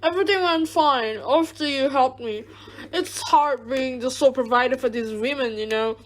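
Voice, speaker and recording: A harsh, domineering patriarch in a radio drama, acted by a woman. The voice sits very high, and the manner is controlling and stern toward the family. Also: moderate pace, slight background noise, natural voice. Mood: commanding or fawning. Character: harsh, domineering father, abusive to his daughter.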